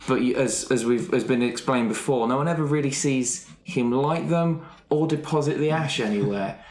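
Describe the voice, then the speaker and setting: A man improvising a character description for a roleplay game, speaking in a halting, wry way. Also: mid-range voice, darkish tone. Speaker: player in a roleplay podcast. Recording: roomy, echoey sound with faint noise.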